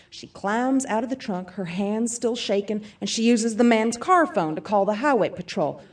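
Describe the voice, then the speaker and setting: Brash female gun-safety speaker, high animated voice, motivational punch, folksy asides, stage play, slightly off-mic. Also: distant mic. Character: brash, upbeat female self-defense gun seminar speaker. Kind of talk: audio theatre monologue to a seminar audience.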